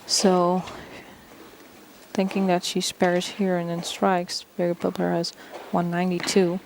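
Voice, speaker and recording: A woman's voice: on the low side, monotone, a little slow, vocal fry, darkish tone. A woman from the Netherlands giving live bowling commentary and analysis in a casual, conversational way. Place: bowling championship venue. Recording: noisy hall, crowd behind. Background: bowling crowd.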